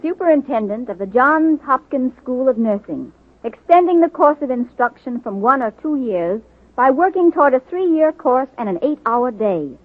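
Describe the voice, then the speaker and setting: Proud female nurse, high pitch, vintage radio acting, narrow tinny recording. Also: lively intonation, moderate pace, dry recording. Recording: tinny old radio recording, music behind.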